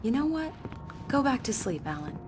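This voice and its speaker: An actress playing a patient but exasperated, caring wife, gentle and frank in a natural game drama style. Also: female voice, a little high, very animated, normal speed. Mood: weary. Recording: music behind voice.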